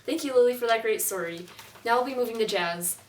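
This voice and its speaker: A woman's voice: a little high, quick pace, close to an everyday voice. Woman as echoey 1920s news correspondent. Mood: matter-of-fact. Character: female 1920s newscast music correspondent. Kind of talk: scripted amateur mock newscast. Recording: roomy distant mic, faint noise.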